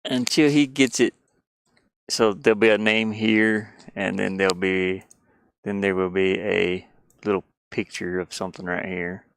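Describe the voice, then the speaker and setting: Male streamer, low-pitched and clear, unhurried and halting, casual and self-deprecating, dry close sound. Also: steady tone, no echo. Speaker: livestreamer. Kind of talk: casual livestream chat while sketching.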